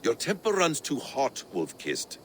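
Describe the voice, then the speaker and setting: A man playing a stern, coldly superior warrior in a video game, with a deliberate, reproving delivery. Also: medium pitch, wildly swooping pitch, slight background noise. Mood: stern reproach. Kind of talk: video game cutscene acting.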